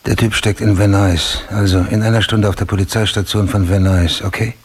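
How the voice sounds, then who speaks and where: An actor playing a driven, hard-boiled police detective in a radio drama, with a gravelly voice and terse, clipped phrasing. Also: male voice, lowish voice, fairly even intonation, fairly quick. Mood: brisk. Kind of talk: German radio drama acting.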